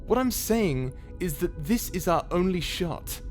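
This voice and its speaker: A man's voice: high-pitched, animated, measured pace. A man playing a cocky, persuasive space pirate captain in an audio drama, flippant and rousing. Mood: urgent, rousing. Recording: background music under the voice.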